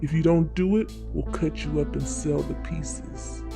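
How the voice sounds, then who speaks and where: A man's voice: a little high, fairly even intonation, measured pace, gravelly, over loud music. A man playing a callous, threatening yakuza loan shark in a fan-made motion comic, speaking in a cold, menacing way.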